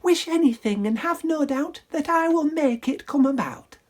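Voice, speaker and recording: A woman voicing a breezy fairy godmother in a hushed, brisk way. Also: sits high, lively intonation, pitched above the performer's own voice. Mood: magical.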